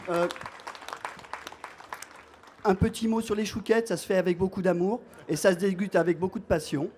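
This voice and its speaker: A man's voice: high voice, steady tone, darkish tone, some chatter behind. Friendly man giving thanks. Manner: friendly, joking, a bit halting with fillers. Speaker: baker thanking guests. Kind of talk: informal thank-you speech.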